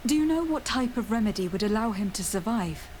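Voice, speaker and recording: A woman's voice: on the high side, lively intonation, fairly quick, a little mellow, a little hiss or hum, close to an everyday voice. Woman acting a poised, insistent noble envoy. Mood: businesslike. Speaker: player-character envoy in a role-playing video game. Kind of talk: video game voice acting.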